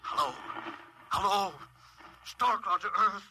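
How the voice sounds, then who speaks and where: A man's voice: sits high, takes it slowly, over music. A man playing a desperate, embattled starship captain in an old radio sci-fi drama, with urgent, emotional command. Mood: pleading. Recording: noisy old radio recording.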